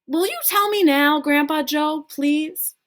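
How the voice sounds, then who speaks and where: A woman's voice: high voice, slow pace, a bit bright, voice pushed up. A woman reading a children's story, voicing a curious, polite little boy who asks things earnestly. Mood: pleading. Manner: questioning, earnest.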